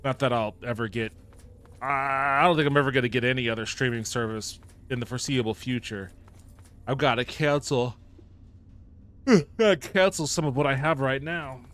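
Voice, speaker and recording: A man's voice: mid-range voice, moderate pace, fairly bright tone. A man raised in Japan, live-streaming a game and chatting casually with his viewers. He is upbeat, thanks people by name and swears casually. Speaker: livestreamer.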